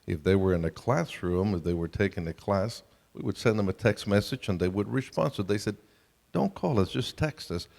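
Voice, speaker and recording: A man's voice: lowish voice, animated, moderate pace, vocal fry, darkish tone. A middle-aged or older man with a Colombian accent, giving a conversational family seminar talk to an audience. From Colombia, South America. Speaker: seminar speaker. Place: large hall at a camp meeting. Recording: reverberant hall sound system.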